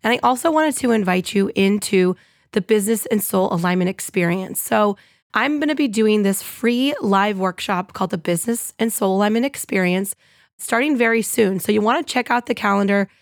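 A woman hosting her own podcast in an upbeat, chatty monologue, her voice a little scratchy and hoarse. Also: mid-range voice, a little fast, no room sound, studio quality. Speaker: podcast host.

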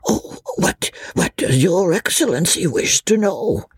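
A man voicing a cunning, greedy old male peddler in an audiobook, humble and deferential in his deliberate speech. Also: high-pitched, lively intonation, breathy edge, no room sound, voice pushed up, heavily acted. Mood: obsequious eagerness. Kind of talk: audiobook character voice.

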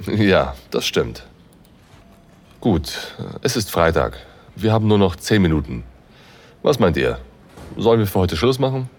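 A man's voice: low-pitched, very animated, a little slow, long pauses, heavily acted. A man playing a seductive, commanding university professor who narrates an intimate audio drama in the first person, sighing between phrases. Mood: brisk. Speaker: narrator and lead actor.

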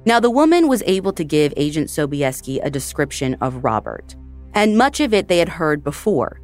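A woman's voice: sits low, very animated, moderate pace, soft background music. A woman hosting a true crime podcast, narrating the case in a conversational way and speaking directly to her listeners. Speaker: podcast host.

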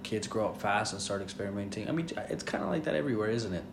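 A man's voice: fairly low pitch, animated, fast talker, gravelly, background noise. A man hosting a podcast, asking many curious questions and laughing easily in casual chat. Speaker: podcast host. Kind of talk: casual interview.

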